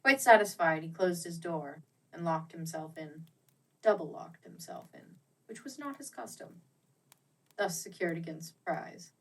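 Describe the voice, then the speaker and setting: A woman with a fairly low voice reads a classic storybook tale. Her delivery is theatrical and expressive, with each phrase set out deliberately. She sounds a little way back from the microphone. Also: recorded from a distance, poor audio. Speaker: audiobook reader. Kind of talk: dramatic storybook narration of a classic ghost tale.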